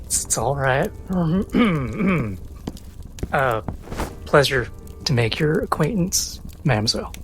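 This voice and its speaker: A man playing a polite, guarded gentleman who is awkward, protective and hesitant in his courtesy. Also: fairly high pitch, big pitch swings, takes it slowly, slight background noise, exaggerated voice.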